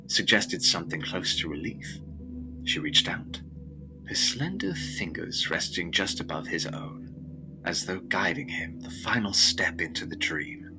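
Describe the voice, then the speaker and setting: A male narrator with a very low voice delivers scripted gothic fiction. His phrasing is deliberate, solemn and dramatic. Music plays clearly behind the narration. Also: low-quality recording.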